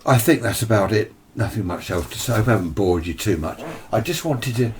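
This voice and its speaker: A British man with a very low voice chats casually through a hobby demonstration. He rambles in a matter-of-fact way and puts questions to himself. A faint noise sits behind him. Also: fairly quick. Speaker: amateur radio hobbyist presenter. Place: indoor room with radio gear. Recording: steady background noise.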